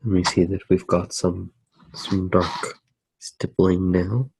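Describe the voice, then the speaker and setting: A soft-spoken man narrating his drawing in an ASMR style, unhurried and halting, with long pauses. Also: low voice, flat delivery, vocal fry, breathy edge, no echo, low-quality recording. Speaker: ASMR artist demonstrating a drawing.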